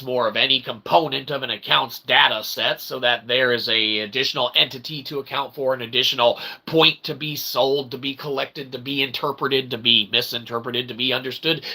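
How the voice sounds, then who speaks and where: A man giving rambling, irreverent opinion commentary in wordy, repetitive, slangy phrasing, often checking in with a quick tag question. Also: mid-range voice, gravelly, pushed and bright, a little hiss or hum, poor audio. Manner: punctuates points with "okay?" and "all right?". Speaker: host of a commentary channel.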